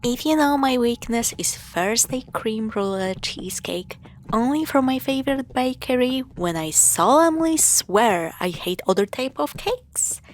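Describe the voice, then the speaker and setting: A woman playing a teasing, affectionate young girlfriend in an intimate scripted audio roleplay, with sarcastic banter and hushed asides. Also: a little high, lively intonation, a little slow. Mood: playful, teasing.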